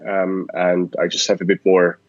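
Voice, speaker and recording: A man from Switzerland, answering interview questions in a relaxed, thoughtful way with frequent restarts. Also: low-pitched, moderate pace, dry recording, lo-fi sound. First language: Swiss German. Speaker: guest. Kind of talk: podcast interview.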